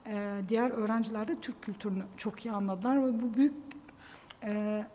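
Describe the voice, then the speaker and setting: A woman's voice: mid-range voice, fairly even intonation, normal speed, mellow, a little hiss or hum. A woman giving interview testimony as a teacher, hesitantly searching for words. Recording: long hall reverberation.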